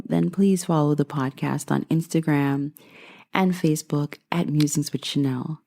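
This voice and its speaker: A woman's voice: deep voice, vocal fry, mellow. A woman from Guyana hosting her own podcast, talking straight to her listeners in a grateful, upbeat way. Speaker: podcast host. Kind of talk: casual solo podcast monologue.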